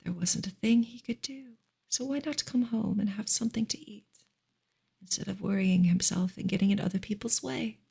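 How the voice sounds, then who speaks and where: A woman whispering a novel aloud as a soft ASMR reading, in a hushed, gentle and breathy voice with creaky fry. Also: mid-range voice, normal speed. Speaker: ASMR reader.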